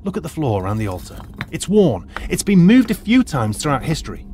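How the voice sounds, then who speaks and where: A man's voice: a little high, huge pitch swings, brisk, a little mellow, a little hiss or hum. A man playing a middle-aged retired archaeologist turned teacher who lays things out in a precise, lecturing way. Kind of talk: audio drama acting.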